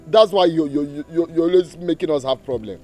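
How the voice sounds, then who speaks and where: A man's voice: a little high, brisk, darkish tone, soft background music, lightly acted. A man with a Nigerian accent playing a defensive, quarrelsome young man in a comedy skit, who stammers when angry. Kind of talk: comic skit acting.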